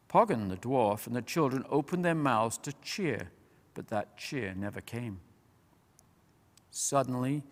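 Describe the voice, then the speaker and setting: Slow, measured male storyteller in a hall. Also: mid-range voice. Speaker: storyteller, reader. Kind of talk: dramatic audiobook narration of a children's fantasy. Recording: long reverberant hall.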